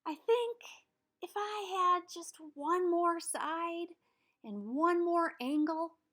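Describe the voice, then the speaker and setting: A woman's voice: very high-pitched, slow, fairly bright tone, voice pushed up, full character voice. A woman voicing a restless, dissatisfied but eager talking shape in a children's storybook. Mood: hesitant, wishful. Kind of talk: children's storybook read-aloud.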